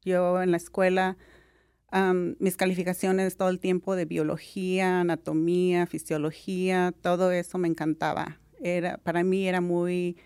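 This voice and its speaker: A middle-aged woman with a Mexican accent, a nurse talking as a guest in a relaxed interview, often filling pauses with "am" and dropping in English words. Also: on the low side, clean tone, no room sound, clean studio sound. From Mexico (Jalisco). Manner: relaxed, many "am" fillers, mixes English words. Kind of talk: casual interview.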